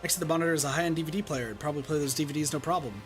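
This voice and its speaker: An earnest schoolboy narrator, voiced by a man with a lightly high pitch. He speaks quickly and dramatically, like a game hero under strain. Faint music runs behind him. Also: natural voice. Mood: uneasy. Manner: dramatic, intense. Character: earnest, anxious teenage schoolboy hero narrating his ordeal. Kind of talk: reading visual novel aloud in character.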